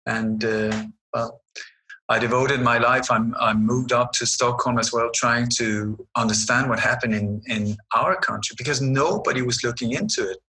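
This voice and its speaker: A man's voice: mid-range voice, steady tone, moderate pace, bright, forceful tone, rough recording. A middle-aged man from Sweden, answering interview questions in an emphatic, sarcastic storytelling manner. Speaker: guest researcher.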